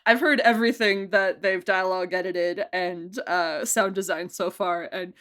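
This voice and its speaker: A woman's voice: fairly low pitch, fairly even intonation, normal speed, fairly bright tone. A woman being interviewed as a producer, chatting informally and emphatically. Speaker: audio drama producer and director being interviewed. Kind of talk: casual chat.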